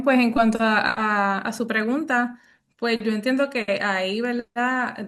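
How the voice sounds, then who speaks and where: A woman's voice: mid-range voice, a bit bright. A woman, a researcher giving a didactic answer at an academic talk and often checking in with tag questions. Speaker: academic researcher presenting a study.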